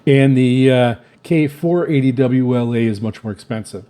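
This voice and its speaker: A man casually reviewing radio gear on his channel, speaking off the cuff in a halting, repetitive way with frequent stammers and filled pauses. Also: mid-range voice, warm tone. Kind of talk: casual unscripted product review.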